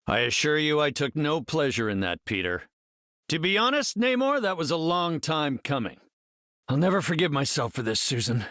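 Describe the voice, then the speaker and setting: An actor playing a brainy, confident scientist hero in a video game, speaking in an articulate, measured and slightly formal way. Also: male voice, on the high side, very animated, fairly bright tone, dry recording, clean studio sound. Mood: regretful, firm. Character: brainy, confident, earnest male scientist superhero leader.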